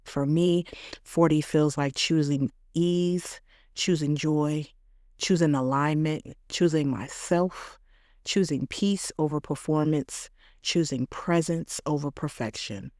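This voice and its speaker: A woman in her late thirties hosting a podcast, delivering a reflective scripted monologue in slow, measured, affirming short phrases. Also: sits low, darkish tone, no room sound, clean studio sound. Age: late 30s. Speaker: podcast host.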